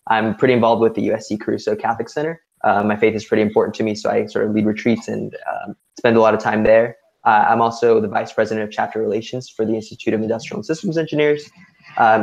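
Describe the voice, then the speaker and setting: A young man introducing himself as a student ambassador in a relaxed, halting way full of fillers. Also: fairly low pitch, vocal fry. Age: young adult. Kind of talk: casual self-introduction. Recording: dull lo-fi sound.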